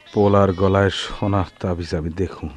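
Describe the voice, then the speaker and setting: An actor playing a bewildered, soulful village husband and father in a radio play, halting and emotional, with a rural Bangladeshi accent. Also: low-pitched, fairly even intonation, soft background music, lightly acted. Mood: tender, sorrowful. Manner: halting, heavy with feeling. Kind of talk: Bengali radio play acting.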